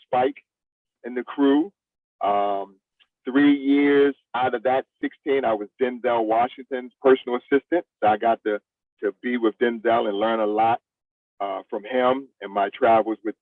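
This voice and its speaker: A middle-aged man from the United States talks casually as an interview guest. His speech is colloquial and conversational, at a middling pitch. He comes through small and phone-like, a little away from the mic, with very little room sound. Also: dry recording, far from the mic. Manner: colloquial, addresses listener as "man".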